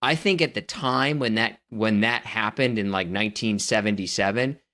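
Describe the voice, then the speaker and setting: A man's voice: on the low side, normal speed, bright, forceful tone. Teasing, opinionated man bantering. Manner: teasing, needling his co-host. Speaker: podcast co-host. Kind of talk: casual banter about movies.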